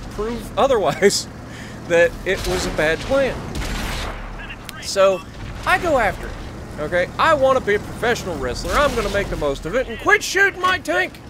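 An American man in his thirties telling a casual, jokey story in an animated, exclamatory way. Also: high voice, background noise. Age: 30s. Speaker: gaming video creator. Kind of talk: casual storytelling over gameplay.

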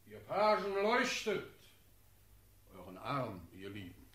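An actor playing a fatherly, authoritative ruler in a classical radio drama, with measured declamation. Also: medium pitch, wildly swooping pitch, a bit bright, heavily acted. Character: stately, fatherly sovereign prince commanding his army. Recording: echoey, reverberant room.

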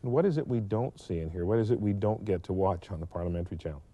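A man narrating a documentary in a measured, explanatory way, reading a script. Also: deep voice, mellow, no echo.

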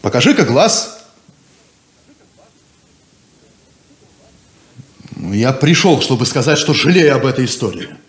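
An actor playing a proud, stubborn, independent small-town deputy sheriff in a 1970s radio play. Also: male voice, mid-range voice, sing-song, a little fast, lightly acted. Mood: polite, awkward. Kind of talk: 1970s Soviet radio theatre acting.

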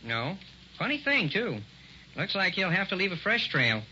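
A man's voice: high-pitched, big pitch swings, normal speed, sharp tone, heavily acted. Meek, ominous little man, muffled. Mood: cautious. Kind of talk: old-time radio horror acting. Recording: noisy muffled vintage radio recording.

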